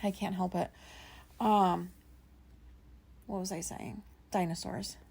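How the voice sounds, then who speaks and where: Raspy woman rambling casually. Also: medium pitch, fairly even intonation, takes it slowly, a little mellow. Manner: rambling, many "like" fillers, casual swearing. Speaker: podcast host. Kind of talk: casual solo podcast monologue.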